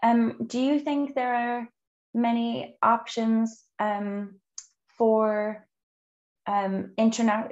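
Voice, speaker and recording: Flat-toned woman host, dull audio. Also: medium pitch. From outside the UK. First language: English. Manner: measured, encouraging, frequent ums. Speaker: podcast host. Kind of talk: conversational interview.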